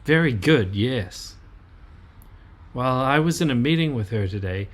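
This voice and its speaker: A man acts a combative wife in an audio drama. The delivery is lively and swooping, thick with sarcasm and irritation, at an ordinary male pitch. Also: measured pace. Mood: sarcastic, bitter. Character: irritable, sarcastic wife venting about office rivals.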